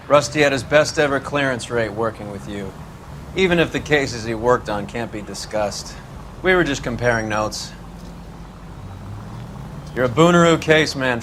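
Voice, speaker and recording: Actor as sardonic cop, faint street noise. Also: male voice, mid-range voice, fairly bright tone, lightly acted. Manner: sardonic, slangy, needling. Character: wry, cynical 1940s police detective, teasing partner. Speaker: detective in a video game. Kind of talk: cinematic game dialogue acting.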